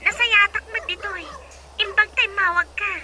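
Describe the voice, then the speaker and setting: Very high-pitched, friendly young woman. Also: steady tone, normal speed. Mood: welcoming. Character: helpful young female nurse, friend on a call. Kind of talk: radio drama acting. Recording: very noisy, with ambient sound.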